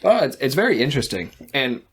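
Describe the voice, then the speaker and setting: A man's voice: mid-range voice, very animated, fast talker, fairly bright tone, a little hiss or hum. A young man from Massachusetts with a light Boston accent, tired, chatting loosely as a podcast co-host, full of "like" fillers and casual swearing. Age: young adult. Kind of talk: casual chat.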